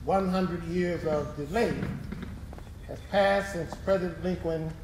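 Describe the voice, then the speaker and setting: Male actor as urgent political orator, deliberate emphatic phrasing, higher-pitched, live stage, echoing room. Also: fairly even intonation, a loud crowd. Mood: solemn, resolute. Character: male political leader and orator, solemn, urgent, demanding justice. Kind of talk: stage readers theatre oratory. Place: live stage performance in a hall. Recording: echoey live hall, clear background noise.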